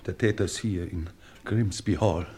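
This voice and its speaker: An actor playing a pompous, self-pitying English lord in a theatrical German radio crime play. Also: male voice, deep voice, normal speed, darkish tone, close to an everyday voice. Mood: irritated, aggrieved.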